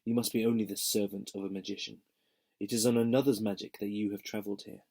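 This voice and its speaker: A slightly hoarse man voicing a tall, cold, imperious queen who speaks in a commanding, haughty way. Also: lowish voice, little pitch movement, quick pace, a little mellow. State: slightly hoarse from a sore throat.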